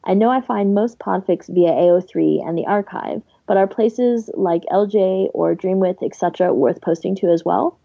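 A woman's voice: medium pitch, normal speed, creaky, few pauses, dark tone, no room sound. A woman co-hosting a podcast in a casual discussion style, on slightly lo-fi audio. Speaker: podcast co-host reading listener questions.

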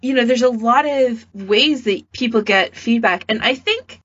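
Female podcast host, husky mid-pitched voice, informal chat, dry and slightly lo-fi. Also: measured pace, a bit bright, dry recording. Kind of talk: casual chat.

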